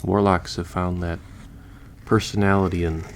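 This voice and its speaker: A man's voice: deep voice, flat delivery, normal speed, a little hiss or hum. A man playing a chatty, easygoing surgeon who patches up the undead, explaining casually as he works in a listener roleplay.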